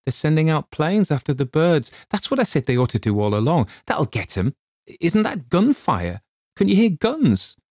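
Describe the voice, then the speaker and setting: Man voicing an anxious, eager wife, pitched up. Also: big pitch swings, moderate pace, darkish tone, dry recording, studio quality. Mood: excited, hopeful. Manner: eager, urgent questioning. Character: anxious, hopeful wife of a cottager.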